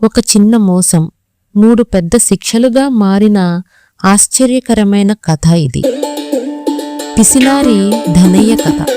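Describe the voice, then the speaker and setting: A woman tells a children's moral tale at a slow, measured pace in a mid-range voice, with music clearly playing behind her. The delivery is scripted storytelling. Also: mid-range voice.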